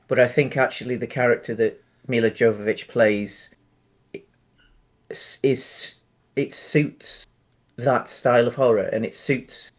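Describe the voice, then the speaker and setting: Man casually explaining his take on films. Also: mid-range voice. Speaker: podcast co-host. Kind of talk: casual film discussion.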